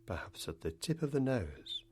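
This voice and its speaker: A man leading a guided meditation, speaking in unhurried phrases with long pauses between them. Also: mid-range voice, very animated, slight background noise.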